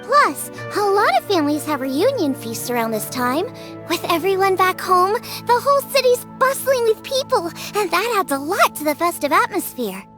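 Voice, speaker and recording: A woman voicing a tiny, excitable, curious childlike companion in a video game, squeaky and eagerly inquisitive. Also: moderate pace, music in the background. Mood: excited, eager. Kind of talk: scripted video game dialogue.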